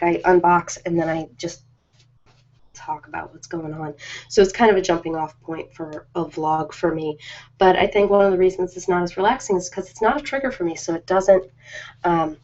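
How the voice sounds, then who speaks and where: A woman's voice: on the low side, normal speed, darkish tone. A woman chatting casually and informally on an ASMR live stream, rambling from one thought to the next. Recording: dull lo-fi live-stream audio.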